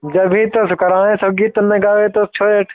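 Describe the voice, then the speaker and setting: A man's voice: sits high, level tone, slow pace, dry recording. A man with a Mewati accent chatting casually as a caller on a recorded phone call. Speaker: caller asking for songs.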